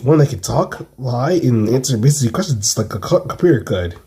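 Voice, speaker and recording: Man as a husky, busy inventor dad. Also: mid-range voice, lively intonation, normal speed, warm tone. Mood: matter-of-fact. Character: busy, geeky inventor father of a teenage girl.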